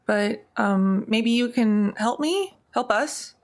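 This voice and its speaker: A woman's voice: fairly high pitch, slow pace. Woman playing a nervous, stammering young woman. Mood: awkward, earnest. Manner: stammering, anxious, repeating herself. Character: nervous, earnest, awkward young woman named Bee.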